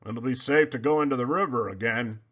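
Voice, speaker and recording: A man voicing a gruff male wild pig in a storybook reading, blunt and grunting. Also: medium pitch, a little fast, fairly bright tone, close to an everyday voice. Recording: slightly muffled recording.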